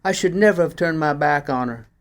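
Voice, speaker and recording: A man's voice: fairly high pitch, fairly quick, voice pushed up, close to an everyday voice. Man voicing an agitated, grumbling shop owner. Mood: rueful, annoyed. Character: portly, grumbling male pawn shop owner, robbed and rueful. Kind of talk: acted dialogue in a story reading.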